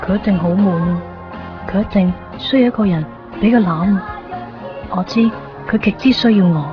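A woman's voice: mid-range voice, moderate pace, lightly acted. An actress playing a young woman who secretly follows the man she loves, narrating her thoughts in an intimate, wistful way. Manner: intimate, wistful inner monologue. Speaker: lead character and narrator. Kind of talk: 1990s radio drama acting. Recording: dull lo-fi radio play with music.

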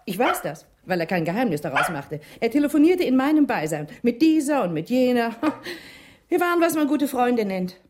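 An actress playing a woman recalling an old friend in a radio crime drama, expressive and theatrical. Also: a little high, normal speed, slight background noise. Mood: reminiscing. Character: woman acquaintance of a painter, reminiscing to an inquirer.

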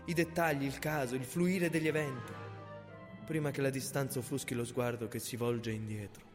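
An actor playing a weary, brooding survivor reading his memoir in a solemn, measured radio drama monologue. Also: medium pitch, steady tone, close to an everyday voice. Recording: long reverb, music underneath.

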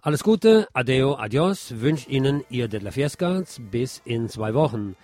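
A man hosting a radio show, delivering an expressive theater review as a monologue and addressing his listeners formally. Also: mid-range voice, measured pace, soft background music.

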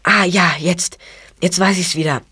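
A woman's voice: on the low side, fairly even intonation, a little slow, pushed and bright, breathy edge, heavily acted. A woman playing a resourceful, eager young boy detective in an audio drama. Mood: thoughtful, urgent.

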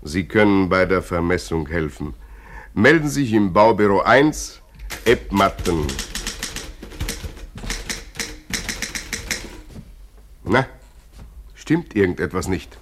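A man's voice: deep voice, big pitch swings, measured pace, fairly bright tone, full character voice. An actor playing a curt, no-nonsense recruiting foreman with clipped commands in a 1950s radio drama. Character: brusque, no-nonsense construction-site recruiting foreman. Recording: noisy old 1950s radio recording.

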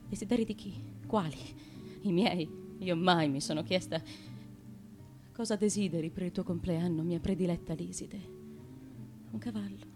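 An actress playing a tormented, dutiful daughter and tyrant's wife of ancient Greece in a feverish, halting radio monologue. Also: female voice, mid-range voice, lightly acted. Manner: feverish, halting, repeating words, swinging between hush and intensity.

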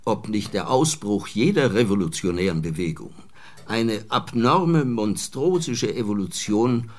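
A man's voice: on the low side, darkish tone. An actor playing a pompous male official in a radio play.

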